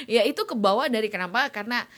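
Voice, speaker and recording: A woman's voice: fairly high pitch, sing-song, fast talker, crowd noise. A woman from Solo with a slight Sumatran tinge to her Jakarta slang, co-hosting in a chatty, playful way full of fillers. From Solo, Central Java. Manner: chatty, playful, lots of fillers and acted asides. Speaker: podcast co-host. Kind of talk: casual chat.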